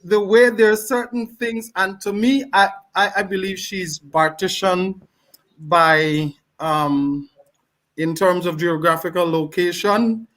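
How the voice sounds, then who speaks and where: A man's voice: sits high, slow pace, fairly bright tone. A man from Guyana with a Guyanese accent, telling casual anecdotes as a panel guest.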